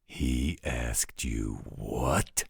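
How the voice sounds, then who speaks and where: A gruff, overprotective werewolf guardian in an audio roleplay. The voice is rock-bottom deep and husky, full of gravel, and he grumbles out his disapproval very slowly in a close, dry recording. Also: no room sound, full character voice. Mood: protective, grumbling. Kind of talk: scripted roleplay audio drama.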